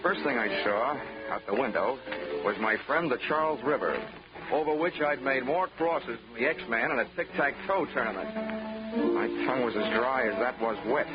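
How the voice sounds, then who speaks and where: A hard-boiled man narrating his case with wisecracks in a 1940s radio style. His voice sits in a middle pitch, and the recording is dull and old, with music underneath. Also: normal speed. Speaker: insurance investigator narrator.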